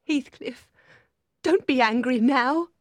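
A woman narrator acts out a dying young wife in a storybook, her voice high and close in a dry recording. She is bitter and passionate, pleading with intense drama. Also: slow pace, dry recording. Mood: soft pleading.

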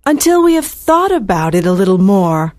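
An actress playing a timid, lovesick young heiress in a dramatized audiobook, hesitant and pleading. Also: female voice, medium pitch, sing-song, moderate pace, a little mellow, lightly acted. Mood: anxious, pleading.

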